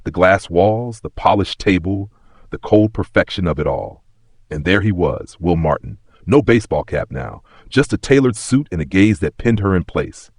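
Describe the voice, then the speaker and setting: Deep-voiced dramatic male story narrator. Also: animated, moderate pace, husky, no room sound, studio quality. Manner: suspenseful, emphatic delivery.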